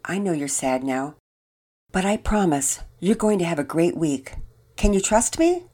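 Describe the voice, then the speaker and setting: A woman voicing a warm, comforting mother who speaks reassuringly. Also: lowish voice, very animated, normal speed. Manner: soothing, reassuring.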